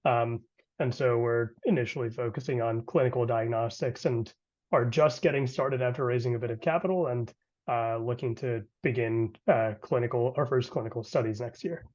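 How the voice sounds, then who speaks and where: A man's voice: mid-range voice, moderate pace, a little mellow. A man introducing himself as a startup founder on a video call, hesitant and filling gaps with "uh". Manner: hesitant, with many "uh" fillers. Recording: dull call audio.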